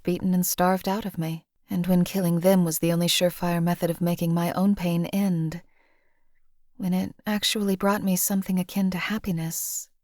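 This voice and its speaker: A woman voicing a young female assassin in a game, speaking in a flat, clipped, unemotional way. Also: fairly low pitch, no room sound, studio quality, lightly acted. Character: cold detached female assassin, young adult. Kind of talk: game companion voice lines.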